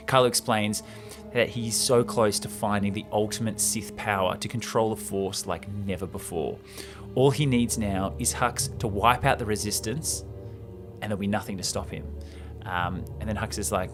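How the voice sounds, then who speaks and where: A man's voice: on the low side, steady tone, moderate pace. Man recapping casually over faint music. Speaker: host of a film report show. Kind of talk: casual commentary and story recap.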